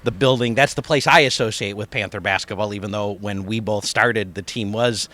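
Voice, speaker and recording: A man's voice: fairly low pitch, a little fast, bright, forceful tone. A man hosting a conversational sports interview, asking long, rambling questions. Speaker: sports information director hosting a preview chat.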